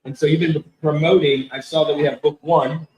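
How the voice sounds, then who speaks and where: A man's voice: on the high side, flat delivery, fairly quick, darkish tone. A man co-hosting a podcast, interviewing a convention guest with easygoing questions and repeated words. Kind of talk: casual interview. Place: convention hall. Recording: echoey hall.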